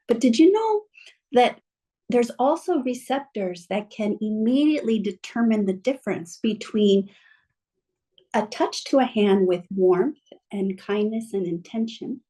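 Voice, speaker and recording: A woman with a mellow, relaxed voice speaks slowly and gently. She guides her listeners through a calming reflection. She is heard over a video call. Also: medium pitch, husky, rough recording. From mother from Mexico, bilingual. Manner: gentle, slow, soothing guidance. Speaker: guest speaker.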